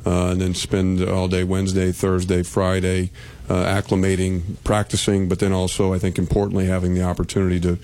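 Deep, flat-voiced man. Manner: measured, steady, with fillers. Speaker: university athletic director. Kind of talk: radio interview answers.

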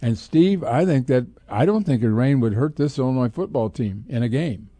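Older American man, dark relaxed voice. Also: medium pitch, normal speed, no echo. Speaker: sports radio co-host. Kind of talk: casual sports commentary. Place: radio studio.

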